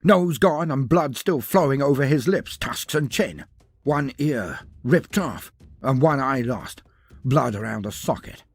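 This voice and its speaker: A man's voice: mid-range voice, animated, a little slow, vocal fry, slightly rough, no echo. A man narrating a scripted fantasy tale in a theatrical, florid and wry manner. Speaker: storyteller narrator.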